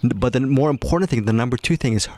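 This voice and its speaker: A man's voice: mid-range voice, fast, darkish tone. A man co-hosting a podcast who rambles as he reminisces, restarting and repeating his phrases. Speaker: podcast co-host. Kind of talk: casual podcast chat, reminiscing.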